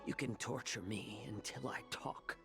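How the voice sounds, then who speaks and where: An actor playing a shrewd fox-folk healer held hostage in a video game, calm and quietly defiant, speaking in a measured, deliberate way. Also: male voice, lowish voice, vocal fry. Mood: defiant, bargaining. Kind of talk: dramatic video game voice acting. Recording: game music behind the voice.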